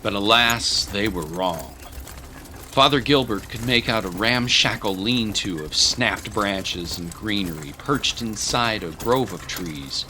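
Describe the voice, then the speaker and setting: A man's voice: lowish voice, sharp tone, slight background noise. A man narrating a scripted historical audio drama in a grave, theatrical manner.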